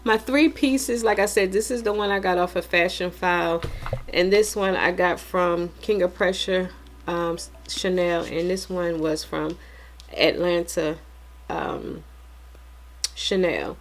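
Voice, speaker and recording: A woman's voice: lowish voice, a little slow. A woman in her forties with an American accent, chatting casually and informally to viewers as a vlogger and repeating points for emphasis. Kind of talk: casual unboxing chat to viewers.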